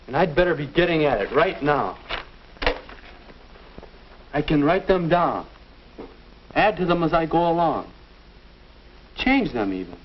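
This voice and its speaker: A man's voice: a little high, slight background noise. A young American man acting as an earnest teenage boy thinking aloud in a 1950s educational film. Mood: earnest, determined. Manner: deliberate, self-questioning, earnest. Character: earnest teenage boy working out his future. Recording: dull vintage 1950s film soundtrack.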